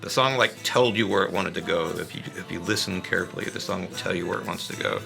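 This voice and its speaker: A man from a band, talking casually and at length about how one of their songs was made, relaxed and quick to laugh. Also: deep voice, creaky, loud music behind the voice. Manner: relaxed, rambling, laughs easily. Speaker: band member discussing a song. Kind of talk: casual conversational chat.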